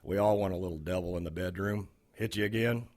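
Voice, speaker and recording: An actor playing a sardonic, wisecracking bartender in a noir audio drama. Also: male voice, low-pitched, fast, a little mellow, a little hiss or hum, lightly acted. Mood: cynical, teasing. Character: cynical, wisecracking male dive-bar bartender.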